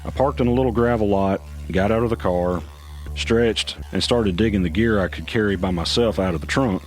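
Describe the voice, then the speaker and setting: Brooding male lead narrator for audio drama, played by an actor: low voice, first-person storytelling, faint music. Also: fairly quick, close to an everyday voice. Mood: brooding, tense. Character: brooding male protagonist recounting his flight.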